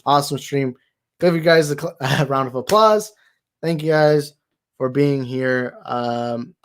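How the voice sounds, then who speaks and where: A young man hosting a movie talk livestream, tired and slightly raspy, rambling and thanking his viewers. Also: medium pitch. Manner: rambling, grateful, repeats himself. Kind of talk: casual livestream sign-off chat.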